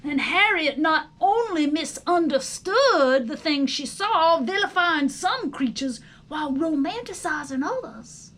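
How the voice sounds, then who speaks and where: A woman's voice: sits high, expressive, unhurried, few pauses, a bit bright, voice pushed up. A woman voicing a patient father earthworm who lectures his son in a didactic, emphatic way, as part of a storybook reading. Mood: patient lecturing.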